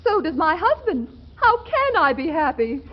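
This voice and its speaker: An actress playing a fretful, jealous rich wife with a fluttery, affected manner in a 1940s radio comedy. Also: female voice, very high-pitched, sing-song, normal speed. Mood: plaintive. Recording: dull 1940s radio recording.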